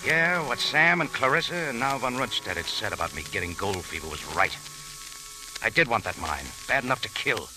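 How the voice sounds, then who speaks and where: A man's voice: mid-range voice, lively intonation, fairly quick, over music, natural voice. An actor playing a tough, wry American adventurer who narrates his own story in clipped slang in an old radio thriller. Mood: tense narration. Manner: clipped, slangy, hard-boiled. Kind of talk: 1940s-50s radio drama acting. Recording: hissy, crackly old radio recording.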